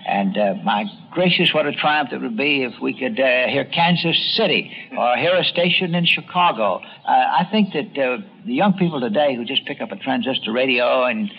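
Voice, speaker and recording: An older man with a gravelly voice, reminiscing unscripted in a halting, thoughtful way. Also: medium pitch. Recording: dull, noisy archival interview recording.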